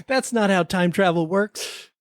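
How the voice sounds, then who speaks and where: A man's voice: high-pitched, measured pace, dry recording, studio quality. A man hosting a podcast, bantering in a teasing, mock-formal courtroom style. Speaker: podcast host. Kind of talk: semi-scripted mock-tribunal podcast banter.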